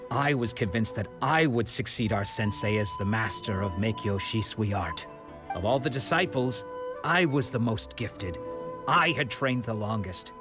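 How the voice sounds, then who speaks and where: A male actor plays a wandering swordsman of middle age in a video game. His low voice is proud and embittered, and he speaks in a measured, reflective way. Music plays clearly underneath. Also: close to an everyday voice.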